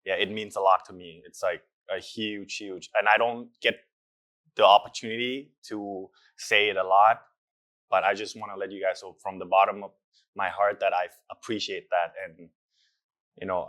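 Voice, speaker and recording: Young actor, earnest and reflective, low-ish voice, halting fillers. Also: male voice, a bit bright. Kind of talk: casual interview answers.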